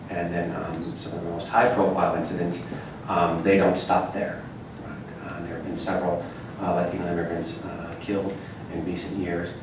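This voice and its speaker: Deep-voiced man, halting with fillers, echoey room. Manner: hesitant, many fillers. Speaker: panelist. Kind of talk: academic panel answer. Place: large echoey lecture room. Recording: echoey room from far off with noise.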